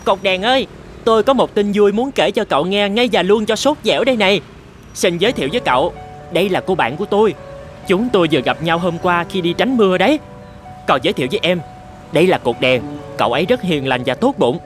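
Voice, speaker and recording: A man playing a cheerful, lovestruck little male bird, speaking eagerly and sentimentally in an animated fairy tale. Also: high-pitched, quick pace. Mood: happy, tender. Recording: music under the voice.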